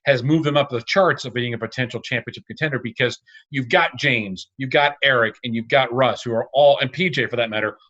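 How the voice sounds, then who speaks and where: On a slightly dull, lo-fi line, a man with a medium-pitched voice gives sports opinions. He is emphatic, leaning on punchy repetition like a radio announcer. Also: fairly quick, pushed and bright. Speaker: radio and arena announcer, guest.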